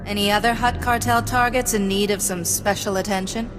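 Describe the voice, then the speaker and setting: A woman's voice: medium pitch, fairly even intonation, a little fast, over music, natural voice. Woman playing a cool, ruthless warrior in a hall. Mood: curt, menacing. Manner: curt, assured, coldly sardonic. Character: cool, ruthless female warrior mystic, confident and menacing. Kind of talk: video game dialogue acting.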